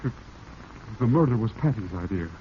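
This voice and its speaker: An actor playing a nervous, guilty young husband, stammering and evasive, in 1940s radio drama style. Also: male voice, fairly low pitch, lively intonation, lightly acted. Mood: anxious, defensive. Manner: stammering, halting. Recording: muffled, hissy old radio recording.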